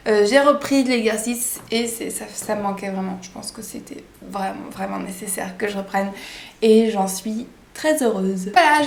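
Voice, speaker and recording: A woman's voice: a little high, gravelly. Chatty young woman in echoey room. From France. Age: 20s. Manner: chatty, hesitant fillers, enthusiastic. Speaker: vlogger. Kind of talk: casual introduction vlog.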